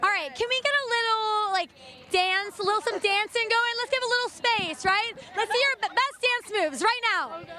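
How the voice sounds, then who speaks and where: A woman's voice: very high-pitched, moderate pace. A woman reporting live outdoors, chatty and upbeat as she interviews people. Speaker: field reporter. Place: outdoors in a crowded square. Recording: crowd noise and music.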